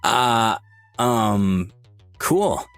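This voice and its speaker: A man playing a bewildered but smooth-talking young man in a visual novel, earnest and polite. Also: fairly low pitch, expressive, drawn-out words, fairly bright tone, dry recording, soft background music, exaggerated voice. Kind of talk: visual novel character acting.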